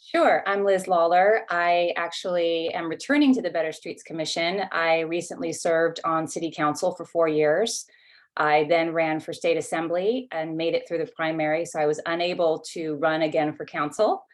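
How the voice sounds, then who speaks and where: A woman's voice: low voice, steady tone, normal speed. A woman speaking fluently and matter-of-factly as a commissioner at a civic meeting. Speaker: returning commissioner, former city council member. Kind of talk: prepared, civic self-introduction.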